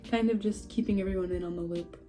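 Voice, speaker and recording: Stuffy-voiced young woman, mellow tone. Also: medium pitch, fairly even intonation, fast talker, soft background music. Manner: rambling, chatty, self-deprecating, laughs often. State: stuffy and a little scratchy from a cold. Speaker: horse breeder vlogger. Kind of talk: casual update vlog. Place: at home among houseplants.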